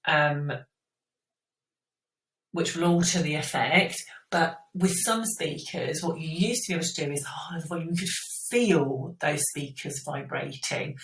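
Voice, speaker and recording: A woman teacher giving an informal step-by-step school science explanation, often checking in with the listener. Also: low voice. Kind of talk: explanatory school revision lesson. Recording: roomy echo, distant microphone.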